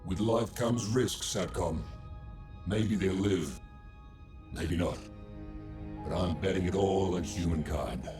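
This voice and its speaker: A man playing an imposing, confident war machine in a video game, with a terse, deliberate delivery. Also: low voice, warm tone, faint music behind. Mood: resolute. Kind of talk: scripted video game acting.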